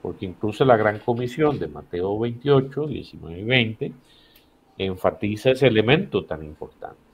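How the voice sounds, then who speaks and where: Low-voiced Costa Rican man, teaching emphatically. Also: lively intonation, moderate pace, dull audio, slight background noise. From Costa Rica. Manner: didactic, emphatic repetition in short phrases. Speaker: missions director, podcast guest. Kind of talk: explanatory teaching in a podcast conversation.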